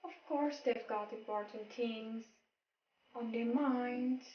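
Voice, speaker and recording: A young woman acts an anxious, dutiful sheriff's wife in a student play. The voice is fairly high and very slow. It comes from across an echoey room, with clear music behind. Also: far from the mic, lo-fi sound, slight background noise. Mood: earnest. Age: young adult. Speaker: student actor in a class play. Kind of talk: amateur stage drama dialogue. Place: small bare dim room indoors. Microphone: far camera.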